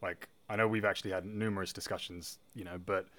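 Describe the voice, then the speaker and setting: A man's voice: low-pitched, flat delivery, quick pace, bright, forceful tone. A man hosting a casual podcast chat in an informal, self-effacing, joking way. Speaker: podcast host.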